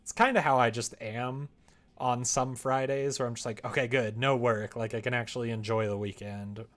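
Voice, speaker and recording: A man's voice: mid-range voice, fairly even intonation, normal speed, a bit bright. A man livestreaming casually as he works, chatting and muttering to himself while he concentrates. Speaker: live streamer. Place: indoors at a computer.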